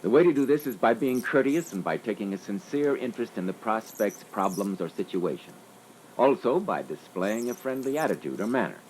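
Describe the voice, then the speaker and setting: A man's voice: fairly low pitch, expressive, a little fast, darkish tone. A man giving scripted instructional critique as a panelist on a sales training record. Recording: faintly noisy old reel-to-reel training record.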